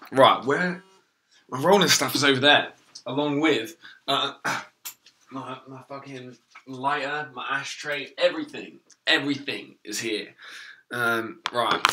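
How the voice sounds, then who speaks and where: Young guy, voice going hoarse, loose rambly streamer talk, very bright, slight background noise. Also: medium pitch, slow pace, long pauses. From England. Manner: rambling, lots of ums and swearing, reads chat aloud. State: losing his voice, hoarse. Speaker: live streamer. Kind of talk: casual live stream chat.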